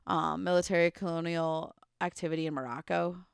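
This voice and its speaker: A woman's voice: lowish voice, little pitch movement, brisk, gravelly, clear, resonant tone, no room sound, clean studio sound. A young woman co-hosting a podcast, casually telling a true crime story with lots of "like" and "um" fillers. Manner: chatty, full of "like" and "um" fillers. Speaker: podcast co-host.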